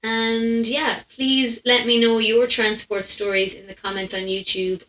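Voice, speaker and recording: A young woman with an Irish accent and a bright, forward voice hosts a casual podcast. She rambles through her storytelling with plenty of asides and self-interruptions. The sound is roomy, like a microphone set up in a small room at home. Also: medium pitch. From Ireland. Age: young adult. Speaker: podcast host. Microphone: microphone on a stand, close in front.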